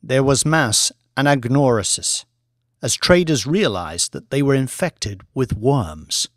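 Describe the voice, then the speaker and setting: A man's voice: medium pitch, lively intonation, takes it slowly, no room sound, studio quality. A man narrating scripted fiction as an audiobook reader.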